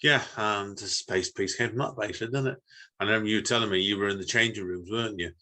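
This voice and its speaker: A man hosting a podcast, reading his own fiction and chatting casually in a halting, informal way. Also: on the low side, sharp tone. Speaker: podcast host. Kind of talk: reading own short story, casual chat.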